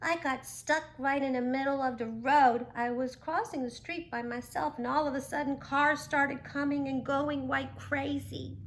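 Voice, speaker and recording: A woman's voice: sits high, fairly even intonation, moderate pace, hardly any pauses, a little hiss or hum, voice pushed up, natural voice, low-quality recording. A woman voicing Timmy, a small, frightened young male turtle in a children's story who tells what happened to him. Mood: scared.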